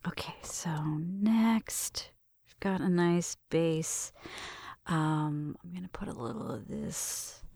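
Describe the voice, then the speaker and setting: A woman speaks in a soft, intimate ASMR roleplay style, drifting between whisper and low murmur. Her voice is creaky and husky at the edges. It sounds very close and dry, with gentle step-by-step narration. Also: takes it slowly, dry recording. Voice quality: soft, breathy, often whispering. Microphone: close mic.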